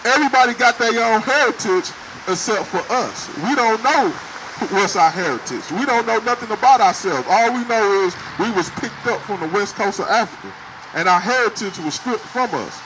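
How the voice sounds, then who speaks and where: Male street preacher, emphatic and confrontational, raised high voice, recorded on a noisy city street. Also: expressive, moderate pace. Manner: loud, emphatic, questions passersby.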